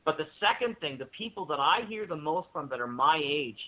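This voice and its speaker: A man's voice: on the high side, lively intonation, fairly quick. A man speaking as a guest in a panel interview, talking enthusiastically and emphatically about what he admires. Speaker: guest, radio drama maker. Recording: thin phone-like line.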